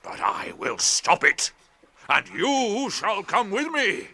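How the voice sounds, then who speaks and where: Ancient kindly tree creature, British accent, forceful bright tone, highly animated pitch, ponderous phrasing, radio drama. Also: male voice, creaky, exaggerated voice. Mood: resolute. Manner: deliberate, ponderous, musing, old-fashioned phrasing. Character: ancient slow tree-giant, kindly, stirred to wrath.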